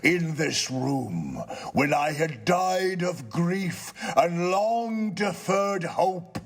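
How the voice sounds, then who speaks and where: A man voicing a gloomy, pompous old-world ghost in a storybook, declaiming in a slow, solemn, drawn-out way. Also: on the high side, very animated, pitched above the performer's own voice, full character voice. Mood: mournful, grave. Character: gloomy, long-suffering male ghost, pompous yet easily persuaded. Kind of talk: theatrical storybook character reading.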